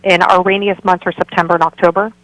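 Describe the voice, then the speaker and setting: A woman's voice: fairly low pitch, level tone, quick pace, fairly bright tone, no echo, rough recording. A woman working as a real estate broker, answering interview questions in a friendly, informative way as a guest. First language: bilingual Spanish and English. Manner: friendly, informative, laughs easily. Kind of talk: conversational radio interview answers.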